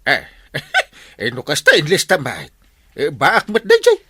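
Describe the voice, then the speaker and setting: A man playing a nosy, excitable villager in a comedy drama, with a Filipino accent. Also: fairly high pitch, a little slow, slightly rough, dry recording, clean studio sound, full character voice. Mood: excited, amused.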